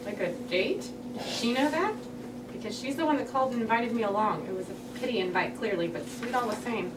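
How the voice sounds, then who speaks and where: A woman's voice: a little high, brisk, lightly acted. A woman reading the part of a wry, matter-of-fact friend at a comedy table read. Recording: roomy, distant mic, loud background noise.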